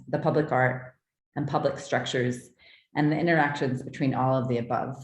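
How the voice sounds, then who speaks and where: Very low-voiced woman in an echoey room. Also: a little mellow. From southeastern United States. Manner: measured, articulate, formal. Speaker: artist presenting own work. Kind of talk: prepared artist talk, read from notes. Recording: roomy, echoing sound.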